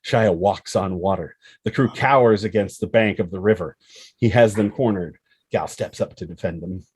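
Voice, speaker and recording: A man's voice: low-pitched, normal speed, darkish tone, slightly rough, no echo. A man narrating a screenplay, reading out scene headings and action descriptions as scripted storytelling.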